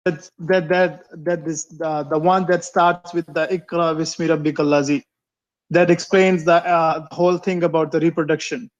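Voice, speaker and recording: A man's voice: fairly high pitch, little pitch movement, moderate pace. A man calling in to a debate, speaking hesitantly and searching for his words in English, which is not his first language. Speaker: caller. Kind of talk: call-in debate. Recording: muffled phone line.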